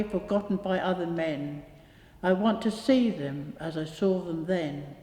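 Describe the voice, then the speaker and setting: A woman reciting rhyming poetry in a measured reading style. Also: fairly low pitch, warm tone. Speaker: poetry reader. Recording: echoey hall with long reverb.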